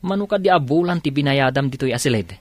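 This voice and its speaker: Man acting out lively teasing Ilocano buddy. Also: mid-range voice, fast, no echo, lightly acted. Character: teasing, easygoing male buddy in a radio comedy drama.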